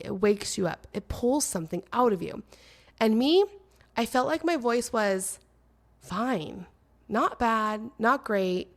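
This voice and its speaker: A woman in her thirties hosting her own podcast, speaking in an earnest, emphatic monologue with dramatic pauses; she is a little nasal and her voice is slightly crackly. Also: medium pitch. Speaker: podcast host. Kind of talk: personal solo podcast monologue.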